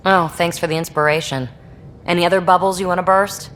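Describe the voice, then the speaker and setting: Over a clear rumbling noise, an actress plays a woman space explorer in a sci-fi game. Her voice sits a little low, and she talks in a breezy, teasing, flirty way. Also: fairly even intonation, brisk, a bit bright, lightly acted. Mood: wry, teasing. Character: flirty, wry woman crew member of a space expedition. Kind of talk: video game dialogue acting.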